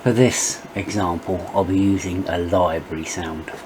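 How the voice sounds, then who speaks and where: A middle-aged man narrating a step-by-step software tutorial as its presenter. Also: deep voice, fairly even intonation, a little slow, noisy background.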